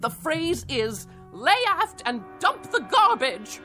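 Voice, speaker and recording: Exasperated, high-voiced, sardonic middle-aged wife. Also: female voice, big pitch swings, takes it slowly, pushed and bright, soft background music, full character voice. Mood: cutting sarcasm. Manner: sardonic, emphatic, theatrical. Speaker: actor in a radio drama. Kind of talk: theatrical radio drama acting.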